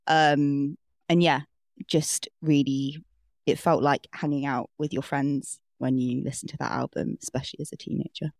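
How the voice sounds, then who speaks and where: A young woman from England, a band's guitarist, speaks with a very low voice. She talks hesitantly in a casual interview and leans on fillers as she thinks. The recording is dry and close. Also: flat delivery, moderate pace, a little mellow, no room sound. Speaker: band guitarist, guest.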